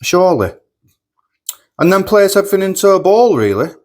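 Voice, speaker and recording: A man's voice: high voice, sing-song, fairly quick, a little mellow. Chatty sniffly man. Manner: chatty, rambling, repeats himself. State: sniffly with a cold. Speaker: food reviewer. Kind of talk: casual food review vlog. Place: home kitchen.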